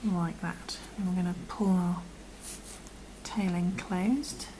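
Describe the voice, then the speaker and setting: A woman with a croaky voice, patiently talking through a crochet tutorial and often dropping to a half-whisper as she works. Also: on the low side, steady tone, takes it slowly, mellow, slight background noise, rough recording. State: croaky from illness. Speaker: crochet instructor. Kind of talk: step-by-step tutorial.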